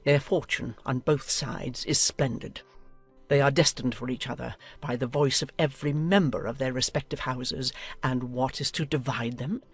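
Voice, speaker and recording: A woman's voice: very deep voice, moderate pace, husky, soft background music, heavily acted. A woman playing a haughty, domineering older noblewoman in a dramatized audiobook, speaking with imperious, declamatory emphasis. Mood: haughty, indignant.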